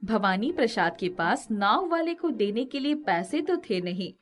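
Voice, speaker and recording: A woman narrating a scripted children's moral story with expressive delivery. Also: medium pitch, a little slow.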